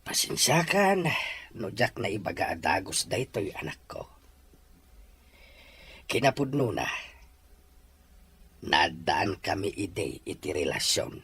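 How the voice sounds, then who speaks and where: A man's voice: fairly low pitch, sing-song, slow, long pauses, a bit bright, husky, full character voice. A man with a Filipino accent playing a sorrowful, earnest middle-aged father in an audio drama. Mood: sad, resigned. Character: regretful, pleading middle-aged father, once too poor. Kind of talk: Ilocano audio drama acting.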